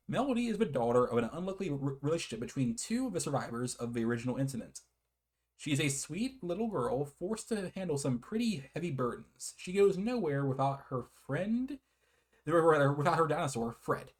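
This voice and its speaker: A man casually hosting a game review, often chuckling as he rambles, backtracks and corrects himself. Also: mid-range voice. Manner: hesitant, self-correcting, often repeats and backtracks; chuckles often while talking. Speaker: video game reviewer and host.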